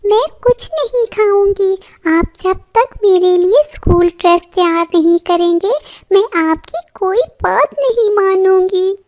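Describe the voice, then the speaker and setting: A squeaky little girl bird for a kids cartoon, super high, proud but pleading. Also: unhurried, a little mellow. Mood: sulky, upset. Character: poor young girl bird, proud, pleading schoolgirl daughter. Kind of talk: Hindi cartoon moral story acting.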